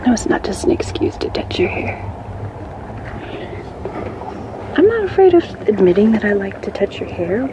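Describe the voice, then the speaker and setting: A woman playing a playful, sassy young companion to a captive merperson in an ASMR bedtime roleplay, often whispering in a breathy, affectionate way. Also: medium pitch, very animated, noisy background, lightly acted. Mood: teasing. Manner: snarky, affectionate, hushed, chatty and halting.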